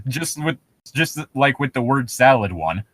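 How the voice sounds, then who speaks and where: A man's voice: mid-range voice, very animated, unhurried, a bit bright, no room sound. A man co-hosting a comedy show, bantering casually and mockingly reading internet stories aloud in a sarcastic, sweary way.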